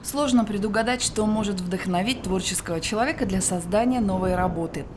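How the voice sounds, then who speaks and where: A woman's voice: medium pitch, brisk, background noise. A woman narrating a scripted television news report as a reporter, recorded on a close voice-over microphone.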